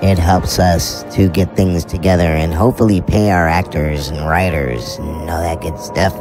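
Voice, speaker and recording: A man's voice: deep voice, steady tone, moderate pace, close to an everyday voice. A man playing an easygoing, folksy spaceship mechanic who hosts a fiction podcast in a playful, leisurely manner. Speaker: show host. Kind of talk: in-character podcast introduction. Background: music.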